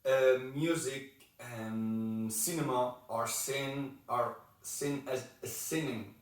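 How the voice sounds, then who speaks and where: A man's voice: mid-range voice, fairly even intonation, slow pace. A man in his twenties, from Morocco, speaking as an interview guest in casual talk and now and then searching for words. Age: 20s. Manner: searches for words, non-native phrasing. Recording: echoey room, mic far off.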